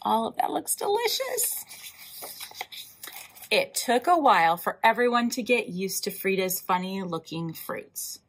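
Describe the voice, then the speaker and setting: A woman hosting a library storytime, reading a picture book aloud in an animated way, with emphatic drawn-out words and asides to young listeners. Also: mid-range voice, slight background noise. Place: indoors, in front of a wall with floral decals.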